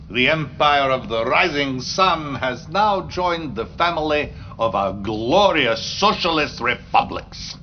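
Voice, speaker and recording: An actor playing a treacherous, grandiose Soviet premier in a video game cutscene, speaking deliberately with menace and a Russian accent. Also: male voice, medium pitch, dull audio, a little hiss or hum. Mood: jovial, pompous.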